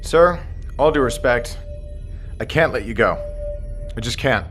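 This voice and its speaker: An actor playing a cautious submarine second-in-command in an audio drama, formal and deferential but firm. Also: male voice, mid-range voice, expressive, unhurried, music in the background, natural voice. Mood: firm, concerned. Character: dutiful, cautious male submarine executive officer.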